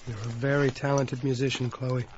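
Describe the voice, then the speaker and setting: An actor as a flattering suitor, muffled audio. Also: male voice, mid-range voice, fairly quick, natural voice. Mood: admiring. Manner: flattering, courteous. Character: suave, attentive male musician suitor. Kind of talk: audio drama acting. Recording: dull lo-fi audio with background noise.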